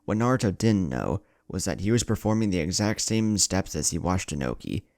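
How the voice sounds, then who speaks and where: A man's voice: low voice, lively intonation, fairly quick, a little mellow, no room sound, studio quality. A man narrating an anime fan story in a dramatic scripted style.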